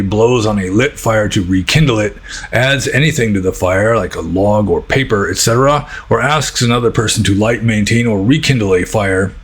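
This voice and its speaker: A man with an American accent and a husky voice, talking informally and a little ramblingly as a Buddhist teacher answering questions. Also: on the low side. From United States.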